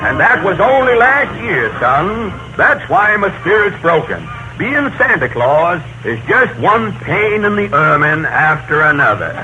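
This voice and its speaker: A man playing a grumpy, put-upon but soft-hearted old Santa Claus with folksy turns of speech, in 1930s radio comedy style. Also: high voice, big pitch swings, a little slow, few pauses, highs cut off, music in the background. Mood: sulky, stubborn. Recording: noisy 1930s radio broadcast recording.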